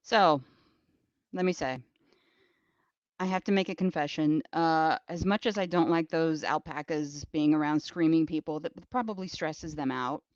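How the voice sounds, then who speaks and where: A woman's voice: low-pitched, normal speed, no room sound. A woman co-hosting a podcast in casual chat, informal and given to brief interjections. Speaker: podcast co-host. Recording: slightly dull, lo-fi audio.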